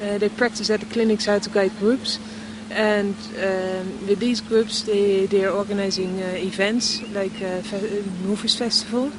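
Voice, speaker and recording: A woman, a project founder speaking earnestly in a documentary interview and pausing often to find words. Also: medium pitch, fairly even intonation. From Netherlands. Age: 20s. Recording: outdoor street noise with passing traffic.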